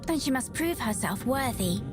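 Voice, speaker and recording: An actress voicing a cryptic female ghost in a video game, speaking slowly and solemnly in riddles. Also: a little high, animated, natural voice. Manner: slow, solemn, riddling speech. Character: ghostly woman spirit, cryptic, aloof. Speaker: game character. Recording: game audio with loud music behind.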